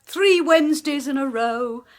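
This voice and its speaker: A woman with an English accent playing a brisk, bossy medium who teases her sister in a radio drama. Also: sits high. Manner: brisk, bossy, jolly, teasing. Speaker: medium and clairvoyant. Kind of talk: 1980s radio drama acting.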